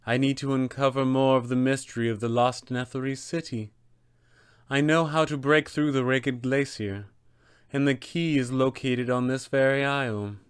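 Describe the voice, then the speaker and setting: A man voicing a haughty, scheming female wizard diviner with a measured, disdainful delivery. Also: mid-range voice, steady tone, clean tone, dry recording, studio quality, natural voice. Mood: urgent, commanding.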